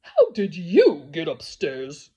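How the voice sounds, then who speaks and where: A woman's voice: low voice, huge pitch swings, takes it slowly, dark tone, breathy edge, highs cut off, voice pushed down, full character voice. A woman voicing a suspicious night watchman in a children's storybook. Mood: puzzled. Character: gruff, suspicious night watchman, adult man.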